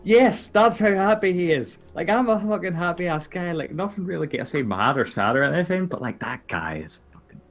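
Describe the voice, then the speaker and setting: Finnish guy rambling with animated pitch. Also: fairly quick, hardly any pauses, faint music behind. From Finland. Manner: rambling, profane, laughs often. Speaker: podcast co-host. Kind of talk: casual chat.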